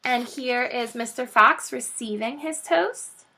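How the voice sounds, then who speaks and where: A woman teacher reading a children's book aloud, expressive and stopping to point out the pictures. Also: on the high side, takes it slowly. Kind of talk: children's read-aloud storytelling.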